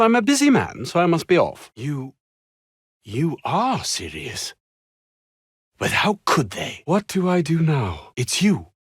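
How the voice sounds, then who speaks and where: An actor playing a haughty, anxious, downtrodden scientist in a video game, husky and speaking in formal, wordy, theatrical phrases. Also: male voice, on the high side, dry recording, studio quality, heavily acted. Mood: indignant, then stunned, then uneasy. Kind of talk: video game character dialogue.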